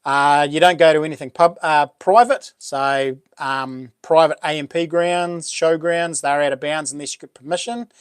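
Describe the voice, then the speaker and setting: Kiwi bloke hosting a live chat, boomy voice, lots of ums, kinda high pitched, dry close mic. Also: male voice, normal speed, few pauses, clear, resonant tone, no room sound. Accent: New Zealand. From New Zealand. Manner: relaxed, rambling, many ums and restarts. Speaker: livestream host. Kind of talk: casual live chat reading comments.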